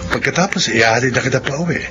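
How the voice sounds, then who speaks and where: Earnest Filipino suitor played by an actor over music. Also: male voice, mid-range voice, racing, close to an everyday voice. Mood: persuasive, earnest. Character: Cedric, persistent attentive suitor, earnest and persuasive. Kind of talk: Filipino radio drama acting.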